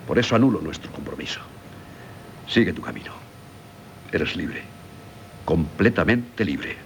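An actor playing a middle-aged, devoted and sorrowful doctor husband in a radio drama, solemn and theatrical, with a Castilian Spanish accent. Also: male voice, very deep voice, animated, unhurried, gravelly, full character voice. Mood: sorrowful resignation. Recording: slightly noisy 1970s radio recording.

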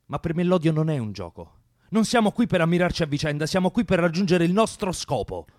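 Brutal, embittered rebel in radio play; confrontational, fairly high male voice, dry close sound. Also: sing-song, fast, a little mellow, no room sound. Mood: scornful, harsh. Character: harsh, bitter male revolutionary driven by hatred. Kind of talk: theatrical radio drama acting.

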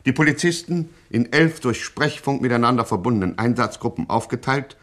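A man's voice: mid-range voice, expressive, fairly quick, a bit bright, close to an everyday voice. Formal male narrator for a gangster radio satire, mid-range voice, mock-epic solemnity.

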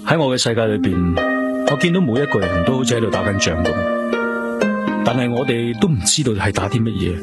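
An actor playing a brooding, weary and reflective police detective in a Cantonese radio drama, with a Hong Kong accent. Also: male voice, fairly low pitch, expressive, fairly quick, over loud music. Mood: pensive, low-key.

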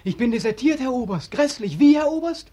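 An actor playing a bitter, despairing young war veteran in a 1940s German radio drama, anguished, sardonic and accusing. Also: male voice, extremely high pitch, expressive, a little fast, dark tone. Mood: shrill, mocking. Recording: dry 1940s radio studio recording.

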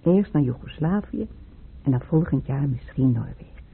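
A woman's voice: deep voice, lively intonation, normal speed, husky, full character voice. An actress playing a dreamy, clinging, lonely married woman in an intimate radio drama. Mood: dreamy, longing. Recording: muffled phone-like old radio recording, noisy.

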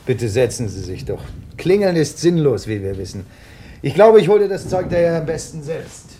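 An actor playing an inquisitive, persistent crime writer in a radio drama, brisk and questioning. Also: male voice, on the high side, very animated, mellow, a little hiss or hum. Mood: brisk, polite. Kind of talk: German radio drama acting, conversational.

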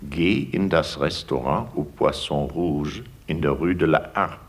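An actor playing the commanding voice of the Prophet in a 1950s radio drama, giving short orders and repeating them patiently. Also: male voice, measured pace, heavily acted. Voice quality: deep gravelly husky. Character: commanding old male voice of the Prophet.

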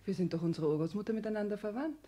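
An actress in a 1960s German radio drama playing a young aristocratic bride, proud and wistful. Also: female voice, mid-range voice, expressive, rapid-fire, warm tone, natural voice. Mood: composed. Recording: faint hiss, old radio recording.

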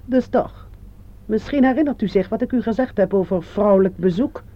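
An actress playing a nosy, suspicious landlady in a radio drama, brisk and insinuating. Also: medium pitch, close to an everyday voice. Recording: slightly dull radio play recording.

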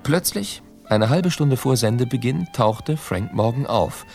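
A man's voice: mid-range voice, a little mellow, close to an everyday voice. A man voicing a veteran radio director who recounts an anecdote conversationally in a documentary. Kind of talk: voice-over of recollections in radio documentary.

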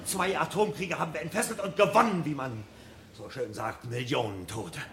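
A man's voice: on the high side, moderate pace, a bit bright, slight background noise. A man acting a troubled, searching fireman with an agitated, brooding manner in a 1970s German radio drama. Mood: agitated, pleading. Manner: agitated, brooding, talks to himself. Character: troubled, searching fireman questioning his society.

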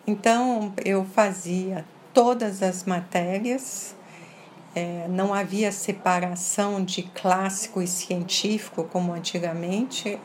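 A woman from France with a slight French accent, answering interview questions in a measured way, stressing single words and often checking in with "tá?". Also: medium pitch, steady tone, a little mellow, a little hiss or hum. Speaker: interview guest. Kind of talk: casual interview conversation.

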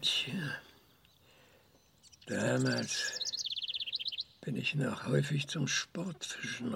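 An actor playing an older, hot-tempered retired space scientist in a radio drama, with a halting, blustering manner. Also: male voice, mid-range voice, creaky. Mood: hesitant, reflective. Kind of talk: German radio drama acting.

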